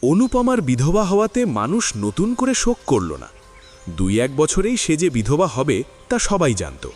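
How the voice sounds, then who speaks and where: Male narrator, expressive story reader, lively intonation. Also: fairly high pitch, unhurried, soft background music. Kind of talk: scripted literary narration.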